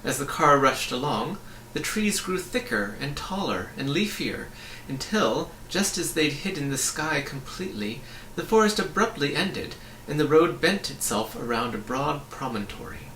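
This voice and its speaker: A man reading a children's story aloud in an expressive narrating style. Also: a little high, moderate pace. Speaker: audiobook reader. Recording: roomy, slightly distant, faint hiss.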